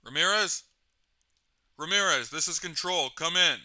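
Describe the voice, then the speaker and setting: Man playing a short-tempered, very bright-voiced control boss. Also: fairly high pitch, a little slow. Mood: exasperated, urgent. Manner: curt, profane, commanding. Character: gruff, short-tempered male security control room boss. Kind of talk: radio dispatch in an audio drama.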